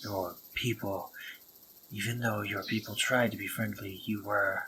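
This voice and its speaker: Man voicing a gentle stammering spider monster. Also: on the low side, fairly even intonation, background noise, lightly acted. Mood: remorseful. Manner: halting, stammering, slipping into soft whispers. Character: gentle remorseful male spider monster, young, protective. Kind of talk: audio roleplay drama.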